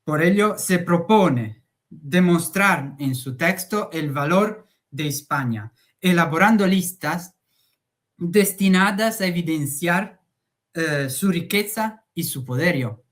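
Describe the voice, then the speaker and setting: Slow, hesitant male academic speaker. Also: on the high side, expressive, a bit bright. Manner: slow, hesitant, frequent "eh" fillers. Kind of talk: conference talk.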